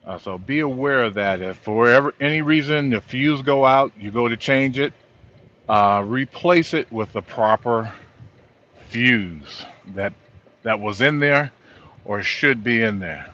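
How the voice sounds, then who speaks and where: A middle-aged or older man, a trucker, giving an informal, halting walkthrough of gear from inside his truck cab. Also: medium pitch, animated, a bit bright. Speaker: truck driver vlogger. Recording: dull lo-fi audio.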